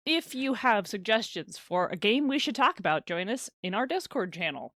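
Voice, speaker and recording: Female podcast co-host, conversational and a little hesitant, mid-pitched, recorded very dry. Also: very animated, moderate pace, fairly bright tone, no room sound. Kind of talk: casual chat.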